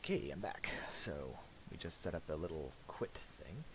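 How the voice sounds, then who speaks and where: A man giving a casual, unscripted software tutorial, muttering and thinking aloud in a halting way. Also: low voice, sing-song. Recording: hissy home recording with mouse clicks.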